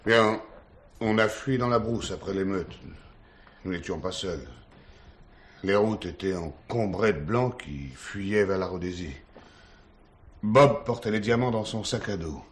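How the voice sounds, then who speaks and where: Betrayed accomplice, slow delivery, fairly low voice, wounded, bitter, 1970s crime drama. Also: male voice. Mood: grave recounting. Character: betrayed male accomplice, wounded and bitter, recounting his flight. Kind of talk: French 1970s police drama acting.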